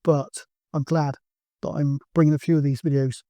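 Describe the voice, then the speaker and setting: Male hobby-video host, middle-aged. Slightly high voice, close dry sound, informal and anecdotal. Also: level tone, moderate pace, dark tone, no room sound. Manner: chatty, anecdotal, colloquial. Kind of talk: casual rambling vlog introduction.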